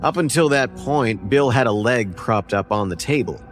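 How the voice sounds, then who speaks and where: A man's voice: medium pitch, lively intonation, normal speed. A man narrating a scripted first-person horror story as its narrator. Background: faint music.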